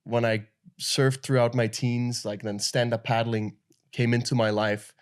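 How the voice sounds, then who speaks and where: A young man from Denmark, a guest in an interview, telling his story in a relaxed, loose way with lots of fillers. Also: mid-range voice, measured pace, clean tone. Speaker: guest, pro paddle surfer. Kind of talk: casual interview talk.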